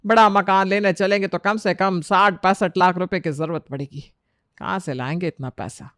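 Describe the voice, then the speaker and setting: A woman's voice: fairly low pitch, steady tone, normal speed, fairly bright tone, no echo, clean studio sound, natural voice. A woman voicing a fussy, worried middle-aged Indian mother-in-law in a story, with emphatic, chiding delivery. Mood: resigned.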